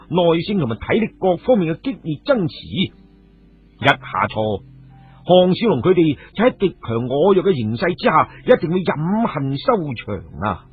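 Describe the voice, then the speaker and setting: Husky Cantonese male storyteller, phone-like sound. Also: a little high. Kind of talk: dramatic scripted radio story narration. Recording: phone-like, muffled radio audio with music. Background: background music.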